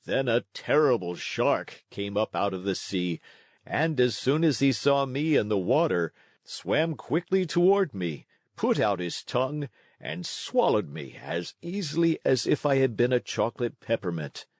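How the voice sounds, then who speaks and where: A man voicing a weary, gentle old father with a measured, tender delivery in a storybook read-along. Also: medium pitch, sing-song, no echo, studio quality. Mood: sorrowful recounting. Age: older.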